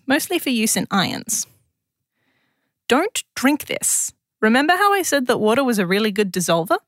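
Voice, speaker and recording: A woman's voice: a little high, fairly bright tone, no room sound, clean studio sound. A woman with an Australian accent presents a scripted science explainer in a conversational, lightly wry way.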